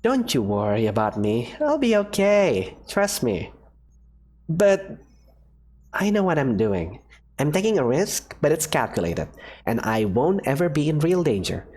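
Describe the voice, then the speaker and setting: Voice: mid-range voice, big pitch swings, a little slow, a little mellow. A voice actor doing a bubbly, breezy woman professor. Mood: explaining. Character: cheerful secretive woman professor, daring scientist. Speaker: character voice in a fan visual novel. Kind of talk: visual novel voice acting.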